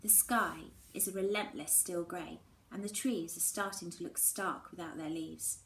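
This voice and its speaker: A woman teacher reading a children's novel aloud in an expressive storytelling style. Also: fairly low pitch, normal speed. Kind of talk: expressive storybook read-aloud with acted dialogue. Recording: roomy echo with faint background noise.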